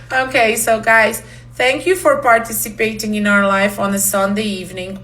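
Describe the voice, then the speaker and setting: A woman's voice: a little high, steady tone, takes it slowly, a bit bright. A woman from Romania, a native Hungarian speaker with a Hungarian accent, teaching a live online language class, switching between Portuguese and English and laughing often.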